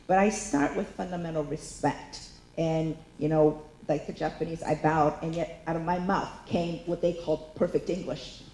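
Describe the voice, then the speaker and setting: A woman's voice: deep voice, steady tone, normal speed, a little mellow, echoey room, a little hiss or hum, poor audio. A woman in her fifties talking candidly as a panelist in a panel discussion, chatty and full of fillers. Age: 50s. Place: lecture hall.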